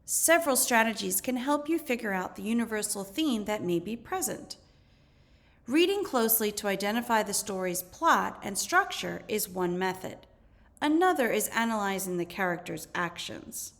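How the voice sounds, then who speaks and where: A woman teacher reading educational material aloud in a measured, instructional way. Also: mid-range voice, lively intonation, fairly bright tone. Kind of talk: educational read-aloud narration.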